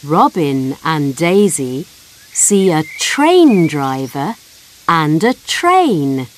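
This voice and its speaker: A woman narrating a simple storybook for small children, reading slowly and clearly in a sing-song way. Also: low voice, sing-song, slight background noise.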